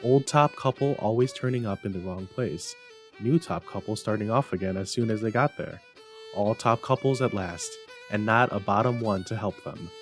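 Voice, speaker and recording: A man's voice: on the low side, moderate pace. Male storybook narrator over music. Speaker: narrator, library staff reader.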